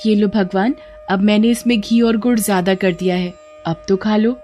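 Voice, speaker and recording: A woman's voice: mid-range voice, steady tone, normal speed, warm tone, natural voice. Woman playing an innocent, devout village girl, music behind. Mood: pleading, stubborn. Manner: earnest, pleading, simple-hearted. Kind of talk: Hindi devotional story acting.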